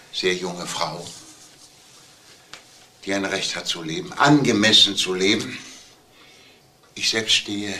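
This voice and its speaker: A man playing a sick, guilt-ridden older businessman in a crime drama, speaking haltingly and heavy-heartedly. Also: sits low, creaky, sharp tone, a little hiss or hum, exaggerated voice. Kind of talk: crime film drama acting.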